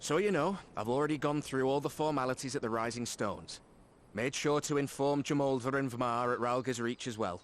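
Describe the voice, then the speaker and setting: Actor as earnest young soldier, middle register. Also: male voice, medium pitch, a little fast, natural voice. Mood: determined. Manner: earnest, plain-spoken. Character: eager, earnest young male soldier, dutiful. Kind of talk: video game cutscene acting. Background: prominent music.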